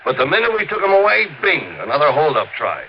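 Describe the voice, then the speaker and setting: An actor playing a plain-spoken, weary police sergeant in a 1950s radio crime drama, colloquial and matter-of-fact. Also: male voice, fairly high pitch, wildly swooping pitch, fast talker. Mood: frustrated. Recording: muffled old radio recording, light hiss.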